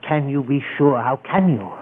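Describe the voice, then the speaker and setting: A fretful, jumpy businessman who is nervous about a crooked deal, played by a man. The voice sits at an ordinary pitch and comes through a thin, narrow old 1940s broadcast. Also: normal speed, close to an everyday voice. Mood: worried. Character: anxious, fretful male warehouse owner. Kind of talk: 1940s radio acting.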